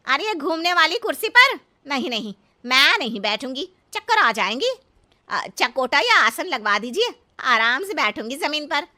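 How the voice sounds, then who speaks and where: An elderly village mother-in-law for a cartoon story, very sharp and high. She is cheeky and stubborn, drawing out her folksy scolding with big swoops in pitch. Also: very animated, normal speed, exaggerated voice. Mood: naive, cheerful. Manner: literal-minded, scolding, folksy. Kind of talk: exaggerated comic cartoon story acting.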